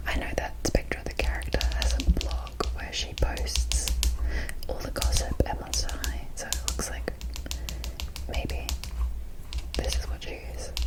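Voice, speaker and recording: A woman hosting an ASMR video, chatting gently and musing aloud close to the microphone, in a show-and-tell style. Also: low-quality recording. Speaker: ASMR host. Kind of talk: whispered ASMR doll showcase. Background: tapping and rustling of small objects.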